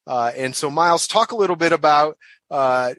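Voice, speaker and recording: A man hosts a professional podcast, and his voice is bright and forceful. He sits close to a dry, dead mic. He talks in a halting way, full of "uh"s and repeated words. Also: a little high, no echo. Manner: hesitant, frequent uh fillers and repeated words. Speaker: podcast host, association director.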